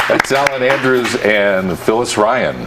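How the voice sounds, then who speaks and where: A man's voice: medium pitch, a little slow, over a noisy crowd. A man hosting a morning show, talking in a casual interview style. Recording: studio talk with clear background noise.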